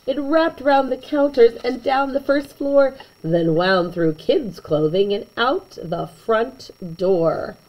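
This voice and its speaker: A woman reading a children's picture book aloud in an expressive way, with chatty asides to young listeners. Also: fairly high pitch, dull audio. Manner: animated, slow and deliberate storytelling, chatting to young listeners.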